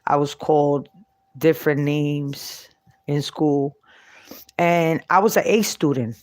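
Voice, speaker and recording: Middle-aged woman, New York Puerto Rican accent. Unscripted personal storyteller, unhurried and halting, recorded dry. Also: low-pitched, fairly even intonation, a little mellow, no echo. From Puerto Rico and New York. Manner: halting, with long pauses between short phrases. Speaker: vlogger telling her life story.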